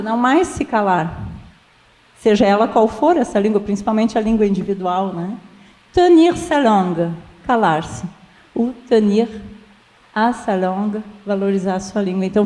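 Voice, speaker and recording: A woman's voice: mid-range voice, warm tone. A woman with a Brazilian accent giving an informal, explanatory academic talk, mixing in French phrases and checking in with her listeners. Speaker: academic guest speaker. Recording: reverberant hall.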